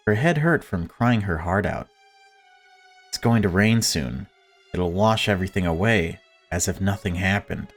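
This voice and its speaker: A man reading a visual novel aloud as a let's-player, with informal, sweary asides. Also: sits low. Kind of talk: narration and casual reactions to a visual novel.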